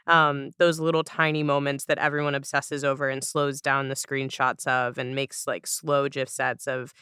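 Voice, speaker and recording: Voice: mid-range voice, level tone, normal speed, sharp tone, clean tone, no echo, studio quality. A podcast host in casual interview chat, talking in a reflective, wordy way and easing into questions.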